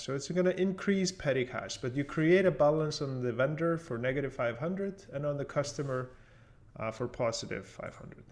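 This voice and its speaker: A man narrating a software tutorial in a casual way, explaining things step by step as an instructor. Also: medium pitch.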